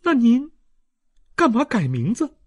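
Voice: medium pitch, wildly swooping pitch, measured pace, pitched above the performer's own voice. A performer voicing an earnest, hurt young married woman in an audiobook, emotional and questioning as she pleads like a daughter. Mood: hurt, pleading. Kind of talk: audiobook character reading.

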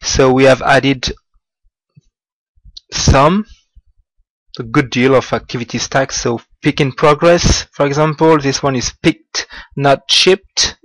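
A man giving a slow, halting walkthrough of business software over a screen recording, with small grammar slips. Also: medium pitch, steady tone, no room sound, poor audio. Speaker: software demonstrator.

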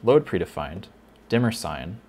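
A man teaching a lighting console in a casual tutorial, thinking aloud with fillers, a little hoarse. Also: low-pitched, sing-song, a little slow, background noise. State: slightly hoarse, losing his voice. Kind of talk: casual screen-recorded tutorial.

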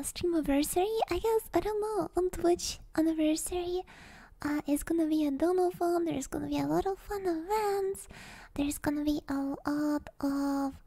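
A woman with a high, soft, dark-toned voice streams ASMR close to the microphone. She mostly whispers, breathy and relaxed, rambling casually as she chats with her viewers. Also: no room sound. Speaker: ASMR livestreamer. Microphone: close binaural ASMR microphone.

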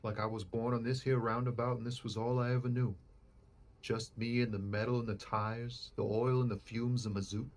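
An actor playing a laid-back, slangy trucker with a poetic, performative streak in a video game. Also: male voice, medium pitch, flat delivery, moderate pace, a little mellow, close to an everyday voice. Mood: wry, theatrical. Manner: theatrical, drawling cadence, slangy. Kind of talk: video game dialogue acting.

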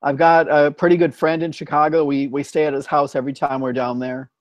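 A man in his fifties hosting a casual video call, talking slowly and plainly with a patient manner. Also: fairly high pitch, little pitch movement, dry recording. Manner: patient, slow, plain speaking with restarts. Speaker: host of a conversation practice call. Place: home room, indoors. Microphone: earphone headset on video call.

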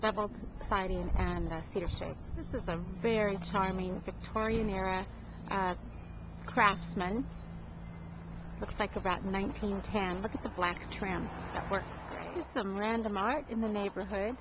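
A woman's voice: mid-range voice, moderate pace. A woman narrating a casual walking tour of houses, admiring and chatty, with frequent ums and uhs. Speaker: real estate agent giving a home tour. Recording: dull lo-fi audio with clear background noise.

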